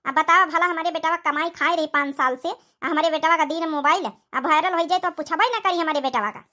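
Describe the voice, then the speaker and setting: A woman's voice: very high voice, brisk, pushed and bright. A woman voicing an aggrieved older village mother-in-law with an Awadhi accent, complaining and scolding in a cartoon comedy. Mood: grumbling. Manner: complaining, nagging.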